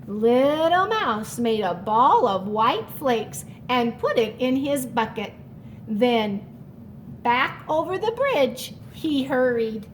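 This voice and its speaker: A woman reading a children's story aloud expressively, with animated explanatory asides. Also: on the high side, slight background noise. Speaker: story reader.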